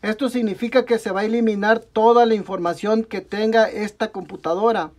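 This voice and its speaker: A man's voice: high-pitched, steady tone, brisk. Mexican man talking through a repair. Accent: Mexican Spanish. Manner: talks through each step while working, frequent "ok, amigos" and self-corrections. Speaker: tech tutorial host. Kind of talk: step-by-step repair tutorial. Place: indoor workbench at a desk.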